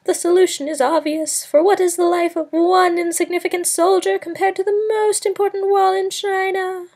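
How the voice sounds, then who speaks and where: A woman voicing a pompous, crafty male court soothsayer in a storybook, with a shrill, screechy, declamatory delivery. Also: little pitch movement, normal speed, vocal fry, darkish tone. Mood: bellowing, insistent. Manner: declamatory, bellowing.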